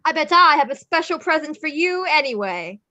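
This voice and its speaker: A woman's voice: high-pitched, big pitch swings, fairly quick, sharp tone, no echo, exaggerated voice. A woman playing Jack, a theatrical skeleton man who fancies himself Santa, declaiming melodramatically at a script table read. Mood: jolly.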